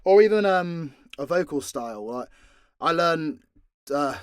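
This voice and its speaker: A man's voice: a little high, lively intonation, measured pace, clean tone, dry recording. A British man giving a frank, sweary, rambling and informal Q&A chat, close on the mic. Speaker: audio role-play creator and voice actor.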